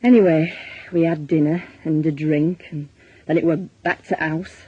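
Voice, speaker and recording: Plain-spoken Northern English narrator, husky. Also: male voice, on the high side, steady tone, moderate pace, dull audio. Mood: wry, uneasy. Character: glum, plain-spoken working-class man narrating family trouble. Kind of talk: first-person radio drama narration.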